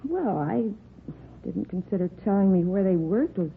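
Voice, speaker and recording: An actress playing a polite, earnest office worker talking to police in a vintage radio crime drama. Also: female voice, medium pitch, lively intonation, moderate pace, creaky. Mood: polite, evasive. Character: polite, evasive female office worker under police questioning. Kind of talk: 1950s radio drama acting. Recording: tinny, noisy vintage radio recording.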